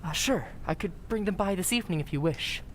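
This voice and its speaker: A woman's voice: expressive, quick pace, lightly acted. A woman playing an earnest, polite, nervous young townsman in old-time radio drama style, with hesitant delivery. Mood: helpful. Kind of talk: 1940s radio drama acting. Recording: faint rumbling background noise.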